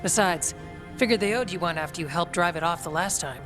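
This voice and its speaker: An actress playing a frank, weary warrior officer in a video game, speaking in a plain, businesslike way. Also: female voice, lowish voice, fairly quick, fairly bright tone, lightly acted. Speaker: Warden officer giving battle briefing. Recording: music behind the voice.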